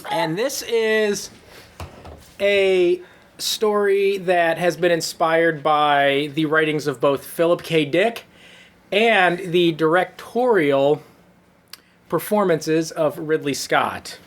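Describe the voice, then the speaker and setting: A man reading a comic story to listeners with dramatic, drawn-out noir-style narration. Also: fairly high pitch. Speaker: comedy storyteller.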